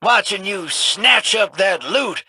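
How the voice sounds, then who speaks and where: A man playing a roguish, wisecracking hustler in a video game, with a husky, folksy showman's drawl. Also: sits high, expressive, takes it slowly, pushed and bright, slight background noise, heavily acted. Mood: upbeat, cheering. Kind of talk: video game character dialogue.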